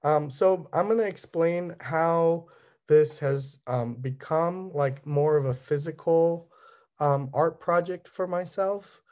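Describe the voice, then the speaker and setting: A young man, an artist, presenting his work over slides, speaking in a halting way full of ums and restarts; Cantonese was his first language. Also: on the high side, darkish tone. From Southern California. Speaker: resident artist.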